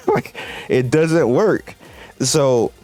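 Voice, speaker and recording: A man's voice: mid-range voice, lively intonation, drawn-out words, darkish tone, dry recording. A man hosting a gaming video, giving casual, emphatic commentary and repeating his points as he addresses viewers. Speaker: host of a mobile game video channel. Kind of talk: casual gameplay commentary, talking to viewers and developers. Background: music.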